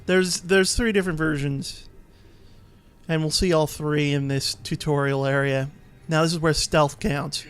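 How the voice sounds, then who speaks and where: A man's voice: a little high, steady tone, measured pace, clear, resonant tone. A man giving casual, easygoing commentary as he plays and explains a video game. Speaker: let's play host and player.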